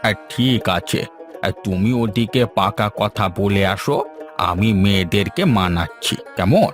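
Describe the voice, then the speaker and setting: A man voicing a fond, self-assured old village father in a cartoon fairy tale, drawn-out and coaxing. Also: on the low side, soft background music.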